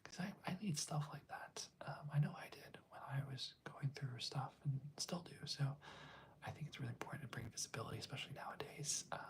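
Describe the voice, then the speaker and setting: A livestream host speaks in a hushed ASMR whisper at a low volume. The delivery is rambling and affectionate, like an informal chat with viewers, drifting from one thought to the next. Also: fairly quick. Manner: soft, rambling, affectionate, breaking off to read chat.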